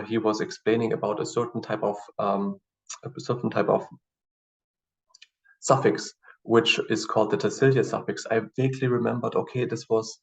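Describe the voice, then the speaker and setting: A man whose first language is German, teaching in a screen-share tutorial with halting explanations and many fillers. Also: mid-range voice, gravelly. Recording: distant, dull lo-fi mic.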